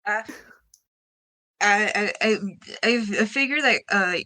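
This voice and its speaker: Bright-voiced woman explaining a game move. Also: medium pitch, slow pace. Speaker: player. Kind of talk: casual tabletop roleplaying chat.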